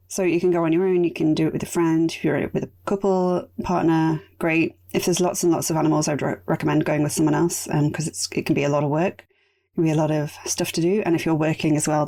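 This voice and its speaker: A woman hosting a casual solo podcast, chatty and rambling, her voice going raspy. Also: sits low, warm tone. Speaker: podcast host.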